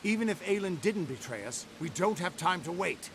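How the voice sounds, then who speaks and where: An actor playing a battle-weary rebel leader in a fantasy game, earnest and commanding, with emphatic delivery. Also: male voice, sits high, very animated, moderate pace, noisy background. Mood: urgent, resolute. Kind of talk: dramatic video game dialogue. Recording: music under the dialogue.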